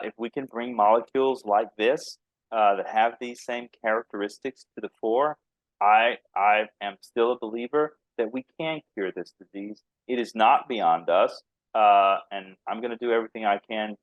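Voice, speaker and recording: A man's voice: lowish voice, moderate pace, no room sound, dull audio. Easygoing laughing Texan man. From Texas. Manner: laughs often, easygoing and earnest. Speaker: biotech company founder, guest. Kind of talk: conversational interview answers.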